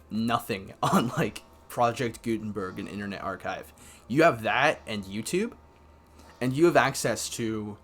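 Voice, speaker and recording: Young man, about nineteen, from Canada, casual vlog talk, rambling with restarts, lowish voice. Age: young adult, about 19. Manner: rambling, filler-heavy, restarts a lot. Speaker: vlogger.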